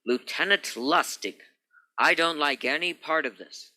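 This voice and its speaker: A man's voice: fairly high pitch, a little slow, pushed and bright, no room sound, rough recording. A man acting a stern, logical spaceship captain in a radio play, commanding and insistent. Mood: stern, alarmed. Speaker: actor in a student radio play.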